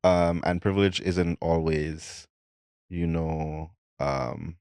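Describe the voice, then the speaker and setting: A man with a low, deep voice hosts a podcast, thinking out loud in a halting way with many restarts. His voice is recorded close and dry. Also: steady tone, clean tone, no echo. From Saint Lucia. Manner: thoughtful, halting with repeated restarts. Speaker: podcast host. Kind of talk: casual chat.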